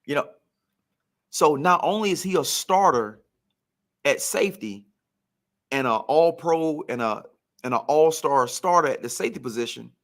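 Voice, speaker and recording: A man's voice: fairly high pitch, animated, normal speed, long pauses. A man in casual sports talk, telling stories like a former player and repeating phrases for emphasis. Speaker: former pro football player, guest storyteller.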